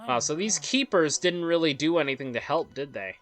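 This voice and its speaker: A let's play host reads a game's story aloud in a measured, dramatic way and adds offhand, rambling commentary. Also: medium pitch, lively intonation, a bit bright. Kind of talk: reading game story aloud with casual commentary. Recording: music under the voice. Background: game music.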